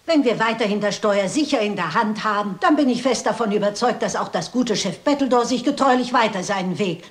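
An elderly lady sleuth played by a woman with a medium-pitched voice. She is dignified, polite and inquisitive, speaking precisely in a crime radio drama. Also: brisk, lightly acted. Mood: gracious, solemn. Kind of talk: German crime radio play acting.

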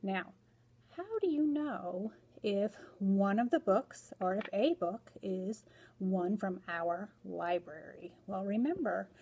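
A woman's voice: medium pitch, slow pace, mellow, husky, muffled recording. An American woman in her forties, a school librarian, explaining things to pupils at a desk in a small room. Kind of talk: instructional chat to pupils.

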